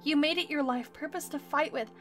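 A woman's voice: high voice, a little fast, a bit bright, faint music behind. A woman playing an anxious, weary but loyal young survivor in an audio drama, earnest and pleading. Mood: resentful brooding.

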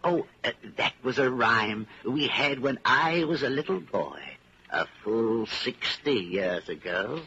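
An elderly shopkeeper in a 1940s radio play, talking slowly with a bright edge and a lilting, wistful politeness. He rambles and hesitates, eager to oblige. The old recording is muffled and hissy. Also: mid-range voice, lively intonation, breathy edge, heavily acted. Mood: wistful reminiscing. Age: older. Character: elderly widowed junk shop keeper, chatty, wistful, deferential. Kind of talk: 1940s radio drama acting.

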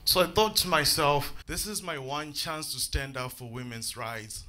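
Bright, animated young male comic. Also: fairly high pitch, moderate pace. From Zimbabwe. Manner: animated, breaks into shouted bits and laughs at own jokes. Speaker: stand-up comedian. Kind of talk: stand-up comedy set. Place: comedy club stage before a live audience. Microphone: handheld mic.

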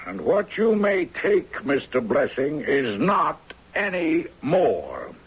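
An older actor playing a shrewd, courtly retired judge in a 1970s radio drama, dry, wry and formally articulate. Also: male voice, fairly high pitch, wildly swooping pitch, slow pace, slightly rough, exaggerated voice. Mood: dry, firm. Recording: dull, noisy 1970s radio recording.

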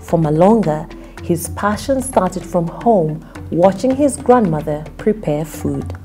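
A woman's voice: fairly low pitch, lively intonation, slow, dark tone. A woman reading scripted narration for a news feature. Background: music bed.

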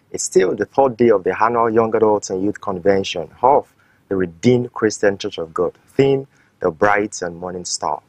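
A man from Nigeria hosting a studio interview, introducing his guest in a formal, measured way. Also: fairly low pitch, breathy edge, no room sound, rough recording. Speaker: program host and interviewer.

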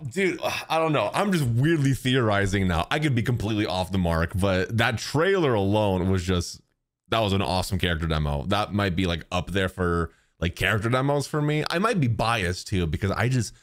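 A young man hosting a casual game trailer reaction, excitable and slangy, on a close microphone. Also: fairly low pitch, moderate pace. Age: young adult. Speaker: YouTube reaction host and streamer. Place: small home streaming room. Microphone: close boom-arm microphone.

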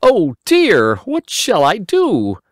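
A simple, round-faced young boy with a high, pleading, whiny voice that swings up and down, as a man puts him on. He frets easily and sounds hungry and helpless. The recording is dry and close. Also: wildly swooping pitch, slow pace, no room sound, full character voice. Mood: fretful.

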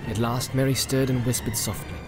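A man's voice: mid-range voice, flat delivery, fairly quick. A man reading a fantasy audiobook as narrator, in a dramatic storytelling style. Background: music and sound effects.

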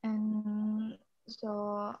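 A nineteen-year-old woman from Central Java, a student speaking quietly, shyly and haltingly on a video call. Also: medium pitch, monotone, over loud music. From Tegal, Central Java, Indonesia. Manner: quiet, shy, hesitant. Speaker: university student. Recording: lo-fi video call with faint noise.

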